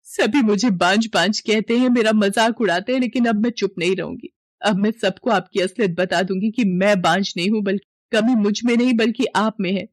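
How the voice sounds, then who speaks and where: A woman's voice: medium pitch, no room sound, studio quality, natural voice. A woman voicing a hurt, frustrated young wife in a story, pleading and indignant with her husband.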